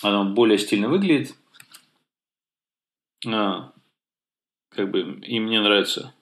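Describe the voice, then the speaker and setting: A man's voice: fairly low pitch, slow, fairly bright tone. A man co-hosting a casual tech podcast, talking with many fillers and restarts. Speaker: podcast co-host.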